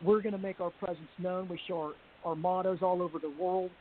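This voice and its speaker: A woman with a deep voice giving a rambling personal account on a group call, halting with frequent restarts and fillers. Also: little pitch movement. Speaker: panel guest. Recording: tinny phone-like line with faint hiss.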